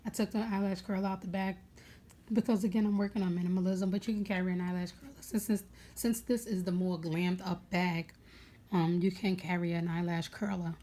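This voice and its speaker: A young woman with a raspy voice chatting casually at home, rambling with many fillers as she goes through her makeup bag. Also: on the low side, little pitch movement, a little fast, warm tone. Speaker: beauty vlogger.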